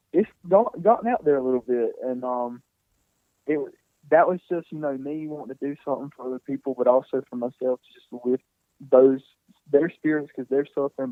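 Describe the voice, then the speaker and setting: A man in his twenties, a singer being interviewed, talking casually and softly with many fillers and restarts. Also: mid-range voice, brisk, no echo. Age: 20s. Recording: tinny phone-like sound, dry.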